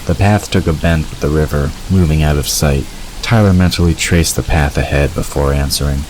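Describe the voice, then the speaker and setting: A man's voice: very deep voice, moderate pace, a little mellow, a little hiss or hum, low-quality recording. A man reading scripted story narration as the narrator of an audio drama.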